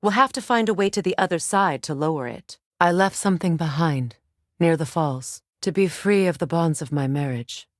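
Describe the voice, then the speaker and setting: An actress playing a grave, sorrowful exiled woman of magic in a video game, speaking in measured, formal phrases. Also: low-pitched, dry recording, studio quality, lightly acted. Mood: gentle, sombre. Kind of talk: dramatic game character dialogue.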